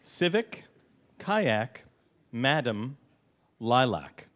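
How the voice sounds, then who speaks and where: A man's voice: medium pitch, big pitch swings, drawn-out words, a bit bright, slight background noise. A man with an accent hosting a comedy quiz, asking clues and teasing the players dryly. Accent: non-native English. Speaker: quiz co-host and musician. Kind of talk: comedy quiz show banter.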